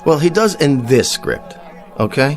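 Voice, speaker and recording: An actor with an American accent playing a vain, petulant, bullying cowboy star in a radio drama. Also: male voice, a little high, big pitch swings, unhurried. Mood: bullying, scornful. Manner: whiny, bullying, self-important. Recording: faint music behind the voice.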